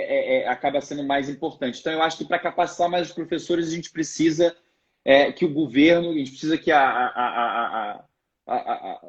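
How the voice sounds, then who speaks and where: A man speaking as a guest in a live online interview, explaining his views hesitantly with many restarts. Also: on the high side. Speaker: guest, financial education project member. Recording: muffled video call audio.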